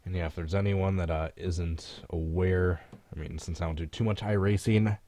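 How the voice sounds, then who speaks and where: A man's voice: low-pitched, fairly even intonation, moderate pace, warm tone, no room sound. A man with a stuffy, congested voice chatting offhandedly while live streaming a racing game. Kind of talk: casual live stream chat and game commentary.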